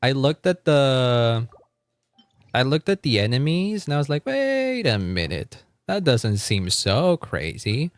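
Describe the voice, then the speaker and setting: A man's voice: medium pitch, big pitch swings, slow pace, no room sound. A man chatting casually on a gaming livestream, rambling and often repeating his phrases. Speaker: live streamer.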